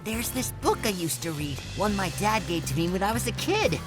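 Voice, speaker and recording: A woman voicing a gentle, shy young bluebird in a cartoon comedy, good-natured and a little hesitant. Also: medium pitch, very animated, fairly quick, lightly acted. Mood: amused, fond. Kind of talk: animated visual novel comedy dialogue. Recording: music behind the voice.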